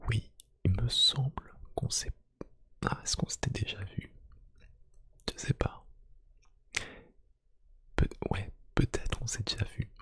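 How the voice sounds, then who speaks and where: A man doing a soft-spoken ASMR roleplay, gently whispering one-to-one to the listener in a hushed, breathy voice. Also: lowish voice, no room sound. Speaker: ASMR YouTube creator. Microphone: close microphone.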